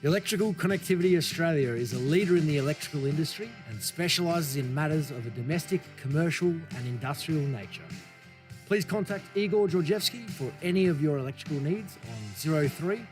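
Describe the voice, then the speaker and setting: Male announcer, slightly high voice, brisk ad read, faint guitar behind. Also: animated. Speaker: advertisement announcer. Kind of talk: read radio advert. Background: faint guitar music.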